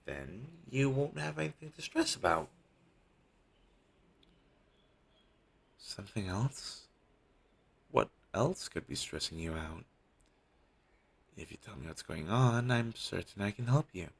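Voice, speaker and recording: A man playing a devoted, apologetic talent agent in an audio roleplay, earnest and hesitant, with a husky, gravelly voice on a low-quality amateur recording. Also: animated, a little slow, full character voice. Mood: relieved, reassuring. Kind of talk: scripted audio roleplay, intimate one-sided dialogue.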